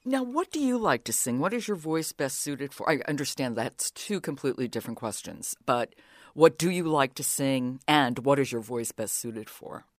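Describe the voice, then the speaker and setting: A woman with a low-pitched voice, recorded dry and close. She interviews a guest with curious, carefully phrased questions. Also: lively intonation, normal speed, no echo.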